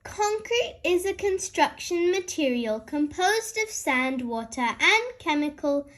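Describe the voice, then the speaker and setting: A woman voicing an earnest, slightly bumbling father pig with a deliberate manner, in a children's storybook read-aloud. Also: extremely high pitch, sing-song, a bit bright, pitched above the performer's own voice, exaggerated voice.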